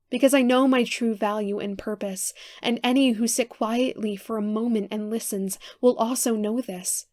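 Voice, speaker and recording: Higher-voiced woman reciting poetry, dry. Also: darkish tone, no echo. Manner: earnest, measured verse delivery. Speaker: poetry reader.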